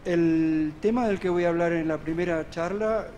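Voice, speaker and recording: A man's voice: fairly high pitch, normal speed, a little mellow, a little hiss or hum. A middle-aged man giving a medical conference lecture at a podium in a large hall, explaining earnestly and posing rhetorical questions. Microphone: podium microphone on a stand.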